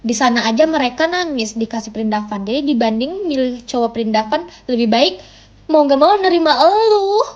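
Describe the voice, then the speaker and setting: A woman's voice: high voice, lively intonation, brisk. A young woman, chatting casually and teasing on a livestream. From Indonesia. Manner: playful, teasing, self-mocking banter. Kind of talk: casual chat. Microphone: phone mic. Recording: dull lo-fi phone stream audio.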